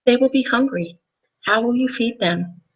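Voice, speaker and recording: A woman voicing a curious mother in a picture-book read-aloud, asking gentle questions. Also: a little high, normal speed, voice pushed up, rough recording. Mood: questioning.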